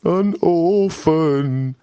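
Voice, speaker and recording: Gruff, soft-hearted storybook burglar, raised pitch and drawled, put on by a male reader. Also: sing-song, dry recording, highs cut off, exaggerated voice. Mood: amazed. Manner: rough dialect speech, slow drawling lines. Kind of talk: storybook read-aloud.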